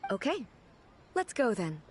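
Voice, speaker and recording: A woman playing a regal, composed and earnestly curious ruler with a formal, measured manner in a video game. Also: fairly high pitch, sing-song, a little hiss or hum. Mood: calm, curious. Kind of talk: video game character acting.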